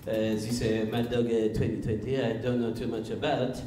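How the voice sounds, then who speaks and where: A man's voice: mid-range voice, little pitch movement, normal speed, warm tone, slight background noise, voice pushed down, natural voice. A male stand-up comedian voicing a snobbish Frenchman with a French accent, hesitant and disdainful, in an echoey club recording. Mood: haughty. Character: snobbish Frenchman dismissing foreign wine.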